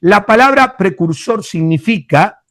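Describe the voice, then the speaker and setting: High-voiced Rioplatense pastor, emphatic. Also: male voice, animated, unhurried, fairly bright tone, no echo, studio quality. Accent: Rioplatense Spanish. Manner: emphatic, addresses listeners fondly, frequent hesitations. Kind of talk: Bible teaching sermon.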